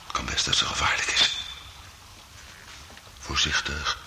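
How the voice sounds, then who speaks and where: An actor playing a cool, wary criminal in a Dutch radio drama, dry and matter-of-fact. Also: male voice, rumbling low, flat delivery, unhurried, vocal fry, exaggerated voice. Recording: echoey, noisy old radio recording.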